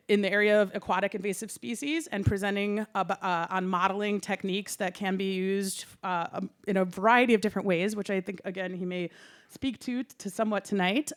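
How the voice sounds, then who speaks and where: A woman hosts an evening talk in a smooth, clear voice. She chats casually, giggling often between little fillers. Her pitch sits right in the middle. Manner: chatty and giggly, with many fillers. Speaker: event host. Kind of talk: casual introduction to a live audience.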